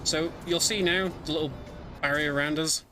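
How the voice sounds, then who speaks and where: A man's voice: a little high, fairly even intonation, moderate pace. A man giving live, explanatory commentary on a video game as he plays it, somewhat hesitant as he goes. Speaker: speedrunner commentating own play. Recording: game music behind voice. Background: video game music.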